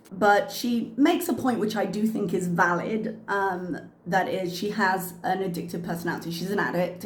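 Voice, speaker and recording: A woman's voice: fairly low pitch, a little hiss or hum. A woman hosting a reaction commentary channel, talking frankly with frequent ums, her voice a little worn from a cough. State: slightly losing her voice from a cough. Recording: echoey room with faint ambience.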